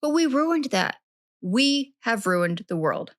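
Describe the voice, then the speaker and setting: A woman's voice: fairly high pitch, very animated, slow pace, fairly bright tone, no room sound, clean studio sound. A woman co-hosting a true-crime podcast, recapping a case in a chatty, rambling way and often correcting herself. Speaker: podcast co-host.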